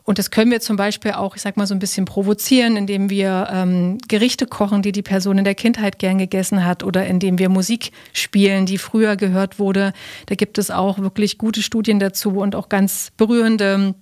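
A woman's voice: mid-range voice, little pitch movement, fairly quick, a little mellow. A woman speaking as an expert guest in an interview, explaining things through everyday examples.

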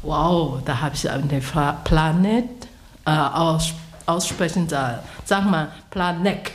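A woman whose first language is not German interviews a guest in halting German, restarting her words often. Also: sits low. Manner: halting non-native German with many restarts. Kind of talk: casual interview. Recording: echoey room with faint noise.

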